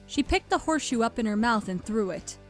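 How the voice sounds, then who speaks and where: A woman's voice: a little high, animated, brisk, soft background music. A woman reading scripted storybook narration as the narrator of a fan fiction tale.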